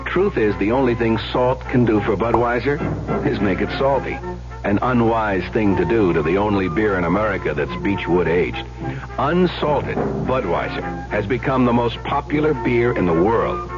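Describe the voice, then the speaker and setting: A male announcer with a fairly low voice delivers a folksy, conversational radio ad. Loud music plays behind him on a dull old recording. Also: moderate pace. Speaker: commercial announcer. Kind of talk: 1970s radio ad read.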